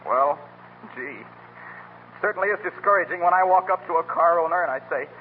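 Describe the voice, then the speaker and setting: An actor playing an eager, earnest salesman with smooth patter in a 1930s radio comedy. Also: a little high, normal speed, natural voice. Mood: earnest, fretful. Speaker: announcer pitching car polish. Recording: muffled 1930s radio broadcast, faint noise.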